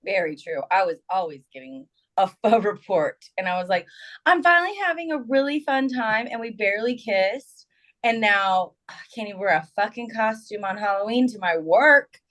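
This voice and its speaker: Expressive woman with lively pitch. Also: normal speed. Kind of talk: casual chat.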